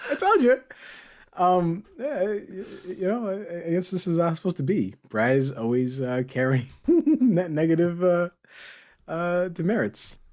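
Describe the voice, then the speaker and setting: Lively higher-voiced man hosting, bantering. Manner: playful, bantering, teasing. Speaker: podcast host. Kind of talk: casual panel chat.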